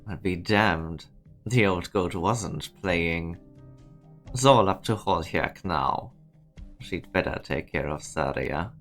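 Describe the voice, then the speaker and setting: Male actor as curt grumbling boss, game drama, very low and gravelly, dry delivery. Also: takes it slowly, fairly bright tone, soft background music, full character voice. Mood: curt, wry. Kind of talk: fan-dubbed game drama dialogue.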